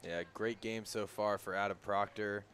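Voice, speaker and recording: A man giving relaxed, analytical color commentary on a baseball radio broadcast. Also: on the low side, normal speed, slight background noise. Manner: relaxed, opens with "yeah", analytical.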